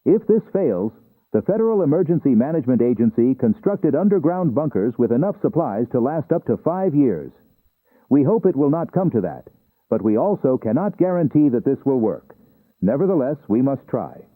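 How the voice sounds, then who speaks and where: A grave government official acted by a man speaks in a medium-pitched voice, earnest and measured, as if reading a formal briefing. The audio is dry and squeezed into a narrow radio band. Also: big pitch swings, no echo. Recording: tinny, band-limited radio message.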